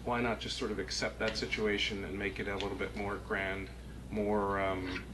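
Male board member, lowish voice, tentative and searching delivery, small meeting room with clear noise. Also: level tone. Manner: halting, hedging, thinking aloud. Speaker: architectural review board member. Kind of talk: spontaneous critique at a public meeting. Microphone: distant room camera. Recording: noisy meeting-room recording.

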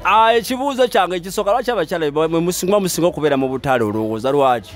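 Street interview host: man, Ugandan, quick delivery, high register, playful and repetitive presenting. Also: faint music behind. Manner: animated, repetitive, bantering. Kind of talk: lively vox-pop presenting. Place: busy open-air market.

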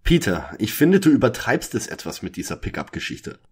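A man's voice: lowish voice, very animated, fairly quick, breathy edge, soft background music. A man playing a stiff, exasperated young detective in an audio drama parody.

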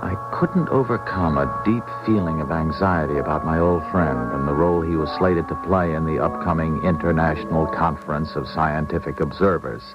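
An actor playing a hard-headed ex-police officer who is a loyal friend, measured and reasoning, in 1970s radio drama style. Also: male voice, a bass voice, loud music behind the voice. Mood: reflective. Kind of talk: 1970s radio drama acting and first-person narration. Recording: dull 1970s radio recording.

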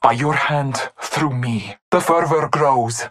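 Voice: mid-range voice, slow, fairly bright tone. An actor voicing a solemn, honorable hunter devoted to Norse gods in a video game, speaking in formal, ceremonious phrases. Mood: grave, triumphant. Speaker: playable game character. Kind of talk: video game voice lines, short barks and proclamations.

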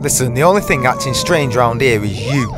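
A man's voice: mid-range voice, very animated, a little fast, natural voice. A man acting a jokey, easygoing young man in an amateur audio drama, teasing in slangy, laid-back talk. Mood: needling. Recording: loud music over the voice.

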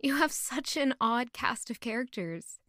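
A woman's voice: fairly high pitch, animated, unhurried, fairly bright tone, dry recording, clean studio sound. A woman playing a sweet, curious young noble lady in an audio drama, polite and inquisitive.

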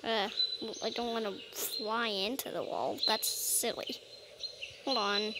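A woman's voice: on the high side, steady tone, slow, very noisy. A woman playfully role-playing in a video game, musing casually as she plays. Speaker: gamer role-playing as a dragon. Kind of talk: casual gameplay narration with playful role-play. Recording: game music behind the voice.

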